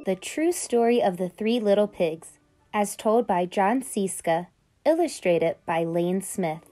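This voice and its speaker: A woman's voice: medium pitch, a little slow, warm tone, faint music behind. Woman teacher reading a storybook aloud. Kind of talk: reading a title page aloud.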